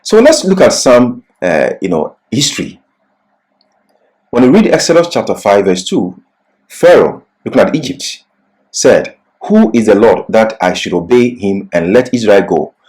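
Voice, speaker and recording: A man delivers a Bible lecture at a slow, measured pace. His pitch rises and falls widely as he stresses key phrases. He sounds like a deliberate teacher working through prepared notes, pausing between points. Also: big pitch swings, darkish tone, rough recording. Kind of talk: scripted Bible lecture with slides.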